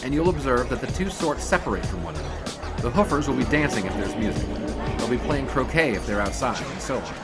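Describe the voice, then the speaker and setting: Wry, pedantic young sportswriter. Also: male voice, mid-range voice, very animated, over loud music. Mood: dryly pedantic. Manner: measured, wordy, dryly pedantic. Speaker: actor in an audio drama. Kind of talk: period radio drama dialogue.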